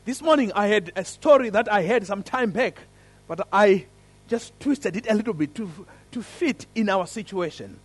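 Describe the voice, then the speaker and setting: A man with an African accent leading a church service, praying and then telling a story in an earnest, lively way. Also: high-pitched, normal speed, slightly rough. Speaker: preacher. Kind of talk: sermon with prayer and story.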